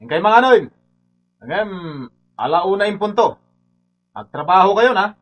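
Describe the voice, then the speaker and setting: A man's voice: high voice, lively intonation, normal speed. An actor playing a bossy construction foreman giving orders in a comedy radio drama.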